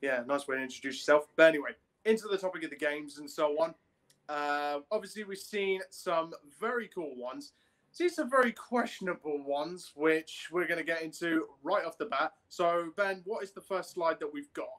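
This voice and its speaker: A man's voice: a little high, normal speed, a bit bright. A young man hosting a podcast over a video call, chatting in an informal, jokey way. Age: young adult. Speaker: podcast host. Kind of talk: casual video podcast introduction. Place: small room at home, on video call.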